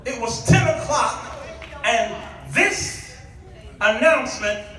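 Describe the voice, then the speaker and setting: A man's voice: extremely high pitch, expressive, slow pace, bright, forceful tone. A middle-aged American man preaching nostalgically to a congregation, theatrical and exclamatory, leaning on rhetorical questions. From Chicago, United States. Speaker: guest preacher. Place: church hall, preaching to a congregation. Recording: echoey hall, distant microphone.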